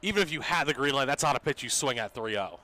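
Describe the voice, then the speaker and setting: Bright-voiced male baseball color analyst. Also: medium pitch, expressive, fast. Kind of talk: live radio sports analysis. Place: ballpark broadcast booth.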